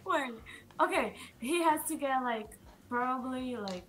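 A teenage woman with a Brazilian Portuguese accent chats on a video call, giggly and hesitant, with lots of fillers. Her voice sits fairly high and swings widely in pitch, and a clear layer of background noise comes through the call. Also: expressive, unhurried. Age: teens. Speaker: guest. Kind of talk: casual video-call chat.

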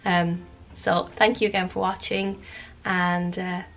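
A woman's voice: lowish voice, little pitch movement, slow pace, a bit bright, low-quality recording. A woman in her thirties, slightly snuffly with a cold, talking earnestly to camera in a cottage living room. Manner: earnest, open, talks with her hands. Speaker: vlogger. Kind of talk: casual vlog chat to camera.

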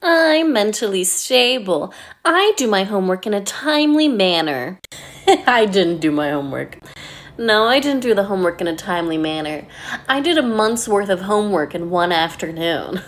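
A woman's voice: mid-range voice, animated, moderate pace. A woman performing a playful, self-mocking comedic skit. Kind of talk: comedic short-video skit.

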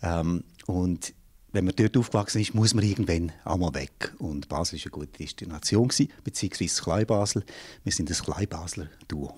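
Husky deep-voiced Swiss German man. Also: a little fast, darkish tone. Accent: St. Gallen Rhine Valley Swiss German. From St. Gallen Rhine Valley, Switzerland. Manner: thoughtful, restarts phrases while searching for words. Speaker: jazz singer and yodel teacher, interview guest. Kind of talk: reflective interview answers. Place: small indoor studio room. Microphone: handheld mic held by interviewer.

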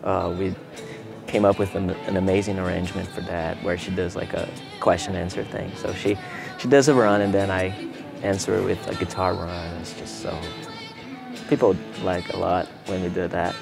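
Deep-voiced Brazilian guitarist, laid-back. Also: male voice, moderate pace, creaky, music in the background. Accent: Brazilian Portuguese. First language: Portuguese. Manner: relaxed, laid-back, many fillers like "you know" and "man". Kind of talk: casual interview answers. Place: seated in an empty theater hall.

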